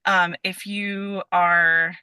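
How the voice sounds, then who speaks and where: A woman's voice: fairly low pitch, level tone, very slow, pushed and bright, clear, resonant tone, no echo. A woman speaking as an interview guest in a casual chat, effusive and giggly, rambling through many asides.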